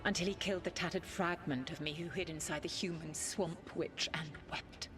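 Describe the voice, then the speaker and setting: A woman playing an ancient, proud elven goddess spirit in a video game, speaking formally and with haughty, deliberate command. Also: low-pitched, steady tone, music in the background, close to an everyday voice. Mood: bitter, proud. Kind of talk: dramatic video game acting.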